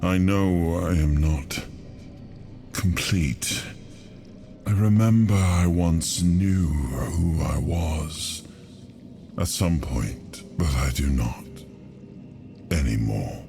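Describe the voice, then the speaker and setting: A man's voice: deep voice, drawn-out words, gravelly, long pauses, dark tone, exaggerated voice. An actor playing a weary ancient king in a video game, solemn and brooding, with a lost air. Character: weary ancient king, amnesiac, freed from long imprisonment. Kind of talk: dramatic video game cutscene acting and narration. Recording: echoing hall reverb under prominent music. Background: music.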